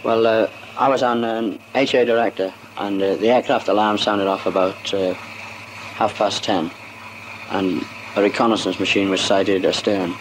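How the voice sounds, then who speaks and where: A young sailor speaks plainly with a few hesitant fillers. His voice sits a little low. The old newsreel film audio carries a faint hiss. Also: steady tone, measured pace, poor audio. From Wales. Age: young adult. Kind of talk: 1940s newsreel interview.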